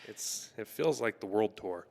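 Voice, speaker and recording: A man's voice: on the low side, expressive, unhurried, vocal fry, darkish tone. A man co-hosting an interview podcast, asking chatty follow-ups and joking. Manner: jokey, chatty follow-up questions. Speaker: podcast co-host. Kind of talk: casual interview banter.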